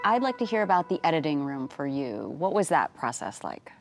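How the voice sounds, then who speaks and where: A woman moderating a studio roundtable, asking brief, measured questions. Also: low-pitched, sing-song, over music. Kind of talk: interview questions. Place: dark studio set at a round table.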